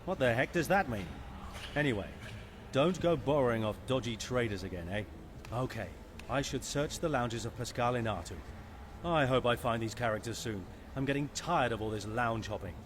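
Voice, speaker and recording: A man's voice: medium pitch, lively intonation, moderate pace, background noise, close to an everyday voice. A man playing a sardonic, easygoing mercenary pilot in a space video game, with a wry, casual manner. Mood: wry, weary.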